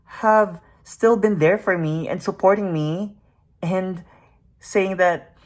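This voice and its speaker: A woman's voice: lowish voice, takes it slowly. A young adult woman, a native Tagalog speaker, talking in an earnest, searching way in a reflective personal vlog. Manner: soft, earnest and searching, with fillers and self-questions. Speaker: makeup YouTuber.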